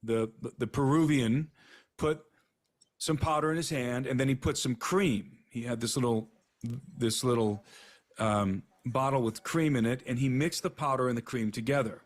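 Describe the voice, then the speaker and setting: A man's voice: mid-range voice, normal speed. A man telling a story in a plain, step-by-step retelling.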